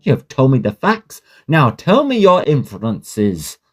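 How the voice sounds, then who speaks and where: An actor playing a sceptical, kindly, fussy Victorian gentleman doctor in a radio drama, proper and measured in manner. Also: mid-range voice, huge pitch swings, faint music behind. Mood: puzzled.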